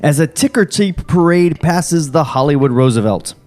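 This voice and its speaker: A man's voice: fairly high pitch, lively intonation, normal speed, darkish tone, faint music behind. Man reading stage directions aloud. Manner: reads directions steadily, breaks off into jokes and laughter. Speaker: podcast host reading a script.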